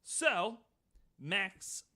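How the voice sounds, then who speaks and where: A man's voice: high-pitched, big pitch swings, very slow, sharp tone. An American man co-hosting a podcast, telling a story from notes with casual banter. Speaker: podcast co-host.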